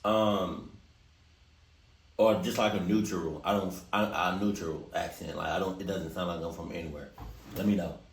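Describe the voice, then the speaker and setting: A man's voice: low-pitched, normal speed, recorded from a distance. A man from Maryland with a Maryland accent, hosting a reaction video and chatting casually with his viewers. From Maryland, USA. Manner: animated, laughing, talks straight to viewers. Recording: roomy echo, a bit off-mic.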